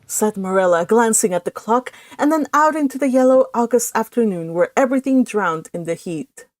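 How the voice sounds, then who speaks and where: A woman's voice: a little high, lively intonation, normal speed, no room sound. A woman hosting and narrating a storybook read-along. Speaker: host and narrator.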